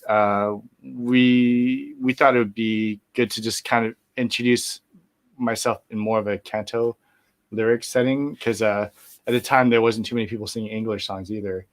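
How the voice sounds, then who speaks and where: A middle-aged man as a guest musician in a casual interview, talking in a relaxed, rambling way with many fillers and restarts. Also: on the low side, normal speed.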